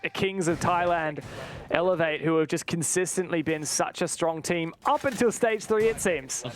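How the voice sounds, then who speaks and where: A man commentating an esports match live, play by play, in an excitable manner. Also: high voice, slight background noise. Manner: excitable, exclaiming at big plays.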